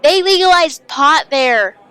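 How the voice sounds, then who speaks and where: A woman's voice: high-pitched, lively intonation, unhurried, sharp tone, full character voice. A woman playing an exasperated, slangy small-town teenager in a radio drama. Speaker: actor in an audio drama.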